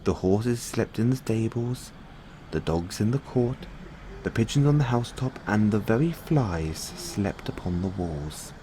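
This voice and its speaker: A man with a low voice narrates a bedtime fairy tale. His pitch moves expressively through each phrase, and there is faint noise behind him. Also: lively intonation, measured pace. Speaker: storyteller.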